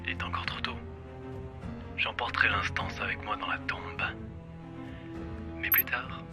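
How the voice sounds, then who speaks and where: Audio drama villain, male actor: mid-pitched, menacing, mocking, hissed asides, music bed. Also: steady tone, measured pace, lightly acted. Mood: gloating. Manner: theatrical, taunting, drops into hissed whispers. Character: menacing male villain, sly, mocking, power-drunk hostage taker. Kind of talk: French audio drama acting.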